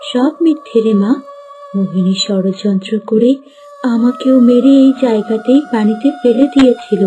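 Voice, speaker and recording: A protective cartoon mother bird, voiced by a woman, with a very soft, dark tone set fairly high. She speaks earnestly and dramatically, like a wronged soul in a fairy tale. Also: normal speed, faint music behind, natural voice, poor audio. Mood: sorrowful, determined.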